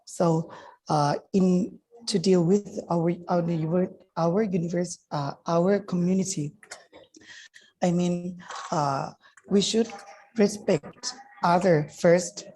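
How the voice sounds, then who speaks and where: A woman from Thailand, a native Thai speaker, giving halting remarks as a guest at a webinar, with many restarts and fillers. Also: on the low side, fairly even intonation, mellow, rough recording.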